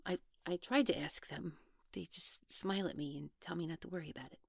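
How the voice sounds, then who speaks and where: A woman plays a young woman in an audio drama, casual but defensive and easily flustered. Her voice is on the low side with a good deal of fry, recorded close and dry. She talks haltingly, with an exasperated edge. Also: no room sound. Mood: amused, puzzled.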